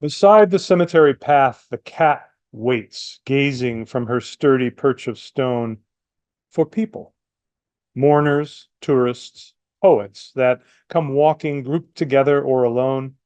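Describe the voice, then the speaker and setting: A man presenting and reading sonnets aloud in a measured, plain-spoken way. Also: medium pitch, darkish tone, clear, resonant tone, no room sound. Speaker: poetry reader.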